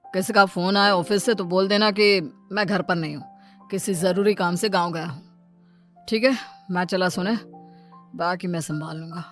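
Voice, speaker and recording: A woman's voice: fairly low pitch, fairly even intonation, moderate pace, fairly bright tone, faint music behind, voice pushed down, natural voice. A woman voicing a lazy, irritable husband in a story, grumbling and complaining.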